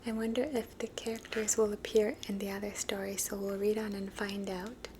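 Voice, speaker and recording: A woman reading children's stories aloud in a soft, unvoiced ASMR whisper. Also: mid-range voice, level tone, a little fast, a little hiss or hum. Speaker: storybook reader.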